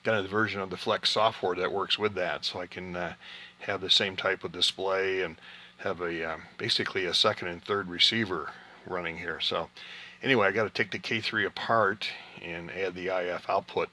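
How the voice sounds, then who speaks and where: Bright, low-voiced man hosting ham radio. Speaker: amateur radio operator hosting an on-air QSO party. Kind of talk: casual ham radio chat.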